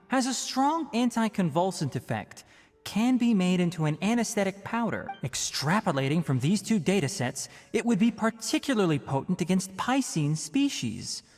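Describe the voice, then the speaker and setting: A stern young forest ranger scholar in a video game. He lectures precisely and dryly, yet his pitch moves in lively swings. The sound has a long reverb, with music underneath. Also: moderate pace, heavily acted. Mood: hushed, focused. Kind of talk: video game character acting.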